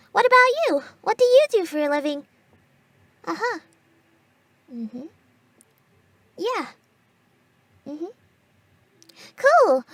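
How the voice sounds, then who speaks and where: A woman's voice: very high voice, a bit bright, full character voice. A woman voicing a hyperactive, chatty, perky young alien on a speed date in an audio roleplay, rambling in a bubbly way. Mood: excited. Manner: bubbly, rapid-fire rambling.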